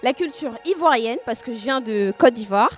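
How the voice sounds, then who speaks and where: Une jeune femme née en France qui présente une émission de radio jeunesse, racontant son histoire de façon franche et expressive. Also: female voice, fairly high pitch, moderate pace, faint music behind. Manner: personal, candid and expressive. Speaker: host. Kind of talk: youth radio show presentation.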